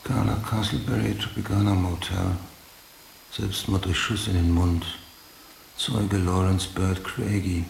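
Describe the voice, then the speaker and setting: Obsessed brooding cop for radio drama, deep croaky mutter, clipped, roomy with a bit of hiss. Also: male voice, little pitch movement, unhurried, darkish tone, full character voice. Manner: clipped, muttering, drifting into whispers. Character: obsessive, brooding male police detective hunting a serial killer. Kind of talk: German radio drama acting, interior monologue.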